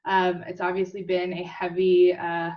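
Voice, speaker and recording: A woman's voice: fairly low pitch, completely flat delivery, measured pace. A woman panelist introducing herself on a video call in an upbeat, chatty way with frequent fillers. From Costa Rica and Mexico, then Colorado. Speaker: panelist, state representative. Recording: muffled distant video-call audio.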